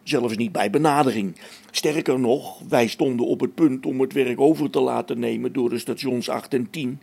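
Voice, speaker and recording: A man's voice: medium pitch, normal speed, gravelly, a little mellow, husky. An actor playing a harried space station engineer in a radio play, thinking aloud as he reasons things out. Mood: dawning realisation.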